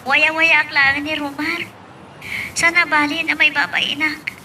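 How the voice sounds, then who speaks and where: Subdued young mother female actor, resigned, high and monotone, Ilocano melodrama, recorded from afar with faint noise. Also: measured pace, exaggerated voice. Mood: weak, resigned. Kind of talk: Ilocano radio-style melodrama acting. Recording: distant mic, faint noise.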